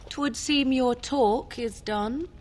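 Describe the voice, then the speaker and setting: A woman's voice: a little high, darkish tone, slight background noise. A woman voicing a cool, sly young witch in a video game, with haughty, archaic phrasing. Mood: sly, composed. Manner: archaic diction, haughty, deliberate. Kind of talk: video game dialogue acting.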